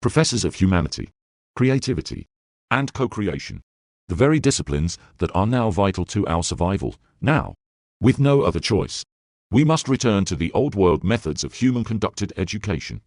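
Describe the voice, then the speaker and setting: A man's voice: lowish voice, sing-song, moderate pace, darkish tone, no room sound, studio quality. A man voicing a solemn prime minister who addresses the citizens in a formal, grave speech. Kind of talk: scripted narration of a future scenario.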